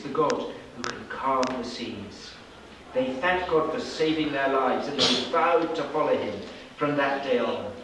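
Animated male storyteller in an echoey room. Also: mid-range voice. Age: middle-aged. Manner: dramatic, deliberate, with pauses and repeats. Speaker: storyteller narrating a children's puppet show. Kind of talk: animated read-aloud Bible story narration. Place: indoor children's classroom, seated before small children. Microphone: distant camera microphone. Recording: echoey, noisy room, recorded from far off.

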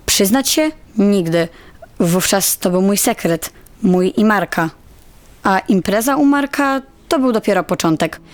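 Young actress as unsure teen girl telling her inner thoughts, radio play, slow, music behind. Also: on the low side, lightly acted. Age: teens to young adult. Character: insecure teenage girl longing to belong, confessional. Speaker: actor in an audio drama. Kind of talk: introspective first-person dramatic narration. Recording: music under the voice.

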